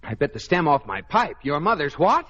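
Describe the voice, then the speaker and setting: An actor playing a wry, teasing, mildly exasperated husband in a radio comedy. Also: male voice, a little high, normal speed. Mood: surprised, wry. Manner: expressive, wry. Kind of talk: 1940s-50s radio comedy acting. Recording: dull old radio recording, loud audience, hiss.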